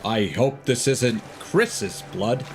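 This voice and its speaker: A man's voice: mid-range voice, slow pace, noisy background. A man doing casual, sweary gameplay commentary as a streamer, with theatrical delivery. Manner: animated, sweary, theatrical outbursts. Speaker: game streamer. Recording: game music behind voice.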